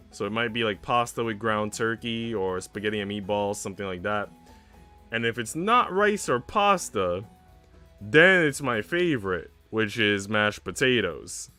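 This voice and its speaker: A man's voice: on the low side, pushed and bright. A young man streaming, chatting casually in a slangy, laid-back way. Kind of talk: casual livestream chat. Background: music.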